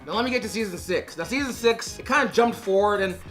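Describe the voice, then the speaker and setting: A man with a raspy, hoarse voice from being sick, reviewing a TV series to camera in a casual, animated, rambling way with frequent restarts. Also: high-pitched, quick pace, faint music behind. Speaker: TV review vlogger. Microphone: on-camera shotgun mic.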